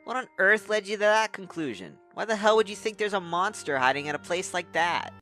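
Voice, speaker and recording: A man's voice: high voice, expressive, brisk, pushed and bright. A man voicing an exasperated, sceptical companion in a fan audiobook, incredulous and complaining. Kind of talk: fan audiobook character acting. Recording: faint music behind the voice.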